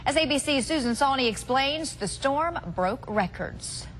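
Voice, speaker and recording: Female morning news anchor, raised pitch, scripted read, faint noise behind her. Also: lively intonation, a little slow, fairly bright tone.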